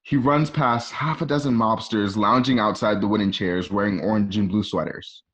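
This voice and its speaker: A man with a fairly low voice narrates a radio play. He reads out scripted stage directions, setting each scene in the present tense. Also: steady tone, moderate pace, a bit bright.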